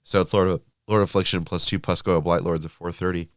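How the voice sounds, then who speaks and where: A man's voice: sits low, fairly even intonation, fast, dry recording, studio quality. A man co-hosting a podcast, chatting casually about tabletop wargaming with frequent fillers, a little sniffly from allergies. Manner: chatty, filler-heavy, laughs easily. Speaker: podcast co-host.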